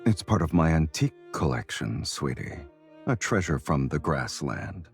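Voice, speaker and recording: Super deep smooth game collector guy, teasing drawl, really swingy intonation. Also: big pitch swings, normal speed, soft background music, full character voice. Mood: wry, smooth. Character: teasing, smooth, worldly male arms and antiques collector. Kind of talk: acted video game dialogue.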